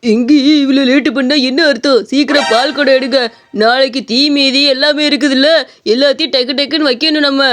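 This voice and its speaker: A high, fast, forceful village big brother acted by a woman, excitable and easily scared. He grumbles and scolds in a rural Tamil Nadu accent, cartoon-style, with faint noise behind. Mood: complaining. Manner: scolding, complaining, colloquial village speech. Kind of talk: animated comedy story voice acting.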